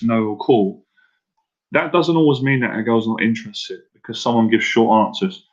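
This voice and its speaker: A man's voice: mid-range voice, a bit bright, highs cut off. Casual live-stream dating coach, a man. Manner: casual and direct, repeats himself, asks viewers to answer in the chat.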